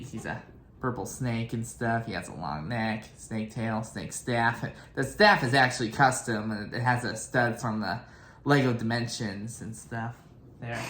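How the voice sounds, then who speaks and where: A young man talks in an echoey room, hosting a casual fan video. His delivery rambles and halts as he finds his way through his thoughts. His pitch sits in an ordinary middle range. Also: a bit bright, a little hiss or hum. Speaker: reaction video host.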